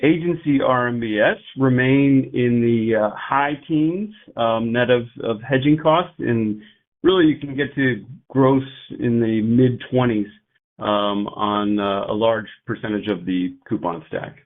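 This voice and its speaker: A male company executive speaking in a measured, formal, technical way on an investor earnings call. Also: mid-range voice. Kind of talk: prepared investor remarks and analyst Q&A on an earnings call. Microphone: conference call line. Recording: tinny narrow-band phone line.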